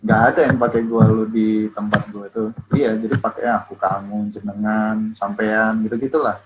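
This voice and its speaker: A man in a casual chat with friends, relaxed and patiently explaining regional words. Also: on the low side, normal speed, very noisy. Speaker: podcast co-host.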